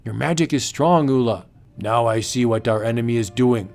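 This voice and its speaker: A man's voice: mid-range voice, normal speed, a little mellow, faint music behind, lightly acted. A man voicing a proud, mighty witch doctor with stilted, declamatory ritual speech in a comic book audiobook. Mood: incantatory.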